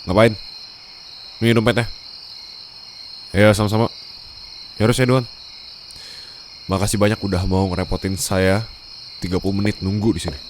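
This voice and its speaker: Young male boss for ASMR roleplay , played by a man: slightly low voice, curt, haughty, sarcastic. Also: steady tone, normal speed, pauses often, a little hiss or hum, lightly acted. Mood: irritated, sarcastic. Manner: haughty, scolding, insulting. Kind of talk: ASMR roleplay audio drama.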